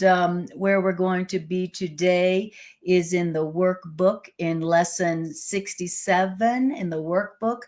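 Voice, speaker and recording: A woman leading a live-streamed spiritual class in a chatty way, laughing easily, with a loud voice, into a microphone. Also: fairly low pitch. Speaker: spiritual teacher. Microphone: desk microphone.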